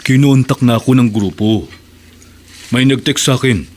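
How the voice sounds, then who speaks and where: An actor playing a tired, secretive husband in a radio drama, speaking in a hushed, low-key way. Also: male voice, normal speed, close to an everyday voice. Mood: subdued.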